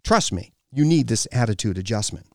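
A man's voice: on the low side, animated, fairly quick, a little mellow, no echo, studio quality. A man voicing a stern, domineering foreign woman in an audiobook, with a commanding, clipped and scornful delivery. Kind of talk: audiobook character dialogue.

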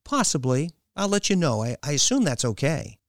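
A man voicing an excitable, defensive young woman with an eager, hesitant manner in an audiobook story. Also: a little high, moderate pace, no echo, studio quality, voice pushed up.

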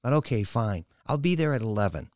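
A man voicing an excitable, defensive young woman with an eager, hesitant manner in an audiobook story. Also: medium pitch, fairly quick, no echo, studio quality, pitched above the performer's own voice, lightly acted.

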